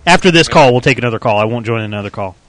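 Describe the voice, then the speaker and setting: A man's voice: mid-range voice, lively intonation, very fast, a bit bright, no room sound. A man hosting a live call-in gaming show, chatty and rambling with a self-deprecating manner. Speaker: radio show host. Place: in a game store studio.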